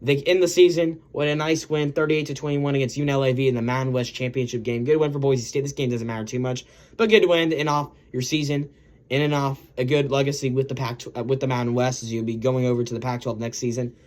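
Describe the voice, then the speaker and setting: A slightly hoarse man talks through football results from a home mic, his voice a little worn from yelling. He rambles, restarts sentences, and keeps calling out to his viewers as guys. His pitch sits in a normal middle range for a man. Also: fairly even intonation, fast talker. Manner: rambling, self-correcting, lots of "guys" and "um". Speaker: sports video host. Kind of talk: casual sports recap commentary.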